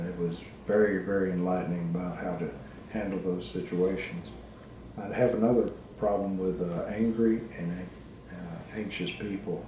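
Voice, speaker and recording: A middle-aged man giving a personal, reflective presentation as a student, speaking haltingly in short phrases with pauses. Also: deep voice. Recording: very echoey, distant microphone, background noise.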